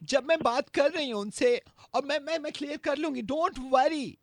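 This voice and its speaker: A woman's voice: sits high, normal speed, no echo, studio quality. A woman speaking in a phone conversation.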